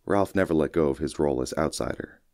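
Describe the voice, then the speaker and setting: A man reading a first-person short story as an audiobook narrator, in a measured, folksy storytelling manner. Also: a bass voice, a little mellow, dry recording, clean studio sound.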